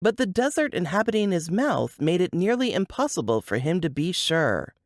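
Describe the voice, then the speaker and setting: A woman's voice: fairly low pitch, sing-song, moderate pace, no echo, studio quality. A woman reading scripted fiction narration as an audiobook narrator.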